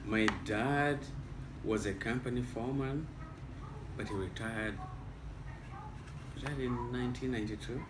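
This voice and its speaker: A man's voice: medium pitch, steady tone, a little slow, pauses often. A man from Kenya with a Kenyan accent, whose first language is Luo, patiently answering interview questions about his home country. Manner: patient, explaining step by step. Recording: echoey room, mic at a distance, noisy.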